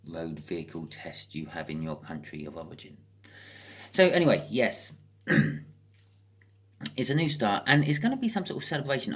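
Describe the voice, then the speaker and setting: A British speaker giving a casual, improvised tarot card reading as host, chatting and thinking aloud with wry asides. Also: sits low.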